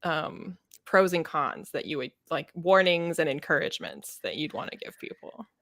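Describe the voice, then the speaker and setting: Female podcast co-host, somewhat low pitch, dry recording; opinionated, emphatic, informal book talk. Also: big pitch swings, moderate pace, fairly bright tone, dry recording. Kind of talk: casual book discussion.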